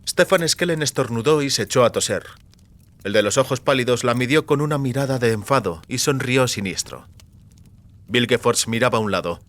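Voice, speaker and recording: A man narrating an audiobook in a measured, literary storytelling manner. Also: mid-range voice, expressive, a bit bright.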